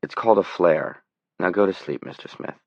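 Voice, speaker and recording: Male audiobook doctor, calm authority, firm, lowish voice, acted by a male reader. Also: normal speed, vocal fry, no echo, dull audio. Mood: firm, soothing.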